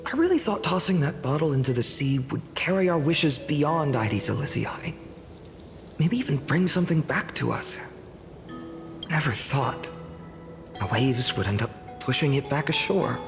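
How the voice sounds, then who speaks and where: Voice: medium pitch, expressive, moderate pace, lightly acted. An actor playing a wistful, easygoing village youth in a fantasy video game. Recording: clear music behind.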